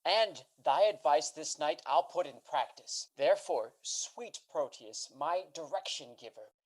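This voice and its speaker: A woman playing a foolish, eager gentleman suitor in a Shakespeare radio drama. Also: low voice, rough recording.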